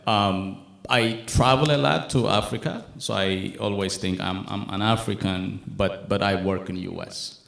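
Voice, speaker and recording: A low-voiced man from Ethiopia introduces himself on a conference panel in a jokey, rambling way, often restarting phrases. His voice has a gravelly edge and echoes through a large hall. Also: steady tone, a little slow, hardly any pauses, fairly bright tone.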